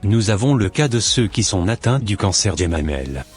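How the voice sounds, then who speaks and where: Man doing a cartoon doctor, middle-aged, preachy, deep, husky, soft background music. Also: normal speed. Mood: lecturing. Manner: calm, lecturing and preachy. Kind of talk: amateur cartoon voice acting.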